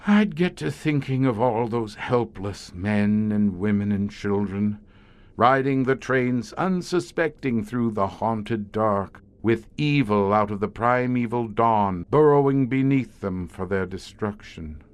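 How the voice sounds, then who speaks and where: An actor playing a haunted, learned middle-aged scientist who guards monster-ridden tunnels, speaking in a grave, florid, theatrical way for an audio horror drama. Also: male voice, lowish voice, clear, resonant tone, natural voice. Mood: grim, resolute. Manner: grave, florid, dramatic storyteller with weighty pauses.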